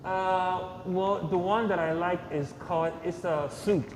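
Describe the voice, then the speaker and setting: A man in his thirties, raised in Nigeria, answering a podcast host's questions with a fairly high voice and plenty of "uh" and "you know". The room is echoey with a long reverb, and clear ambient noise runs underneath. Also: steady tone, unhurried. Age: 30s. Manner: soft-spoken, hesitant, many fillers, short yes answers. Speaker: podcast guest. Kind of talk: casual interview answers.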